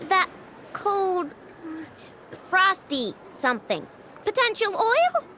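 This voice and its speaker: A woman's voice: very high-pitched, very animated, slow pace, background noise, full character voice. A woman voicing a bubbly, stubborn little inventor girl in a video game, chatty and self-assured. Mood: breezy, eager. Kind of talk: video game character acting.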